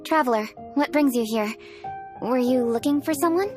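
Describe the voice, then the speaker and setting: An actress playing a serious, capable young female official in a video game, crisp and businesslike. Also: high-pitched, moderate pace, music in the background, close to an everyday voice.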